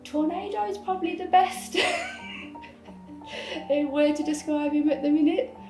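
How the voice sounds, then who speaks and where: A woman in her thirties speaks gently and steadily about her family, pausing often with ums. Her voice has a gravelly edge, and music plays under a roomy, echoing recording. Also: extremely high pitch, animated. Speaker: parent interviewed in a video. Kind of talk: personal account in a documentary clip. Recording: roomy sound with background music.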